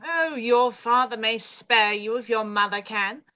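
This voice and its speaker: Brief: imperious aristocratic dowager, played by a woman, condescending, crisp and forceful, lo-fi mic. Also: a little high. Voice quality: haughty, condescending, drawn-out. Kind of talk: live-read radio play.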